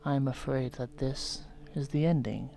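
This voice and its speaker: A man voicing a gentle, soft-spoken television painter who has turned menacing, in a horror ASMR role-play. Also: mid-range voice, creaky, slight background noise, lightly acted. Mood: mournful. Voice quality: soft whispery delivery. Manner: slow, soothing, softly menacing. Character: gentle middle-aged male TV painter turned unhinged.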